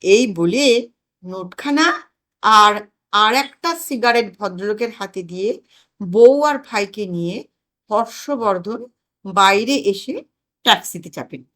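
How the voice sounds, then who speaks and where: A woman reading a comic story aloud as an expressive narrator. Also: medium pitch, a little slow, long pauses.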